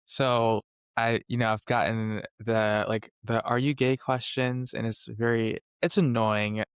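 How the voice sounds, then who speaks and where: Young man with a smooth therapist-like voice. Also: mid-range voice, level tone, no echo, clean studio sound. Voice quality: soft, gentle voice some call a therapist voice. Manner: thoughtful and measured, with frequent "like". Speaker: podcast co-host. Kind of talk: casual discussion.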